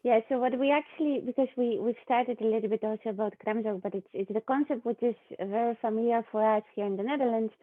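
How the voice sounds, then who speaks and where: A woman's voice: fairly high pitch, fast, hardly any pauses, no echo. A woman hosting a podcast, introducing a guest in a casual, friendly way with many fillers. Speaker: podcast host. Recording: dry, tinny phone-like sound.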